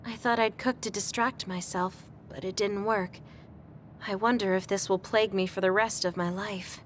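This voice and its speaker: A woman playing a sweet, guileless young woman in a video game, speaking gently and politely. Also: mid-range voice, fairly even intonation, moderate pace, slight background noise, close to an everyday voice. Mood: worried, sincere. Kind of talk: English video game voice acting. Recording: faint music behind the voice.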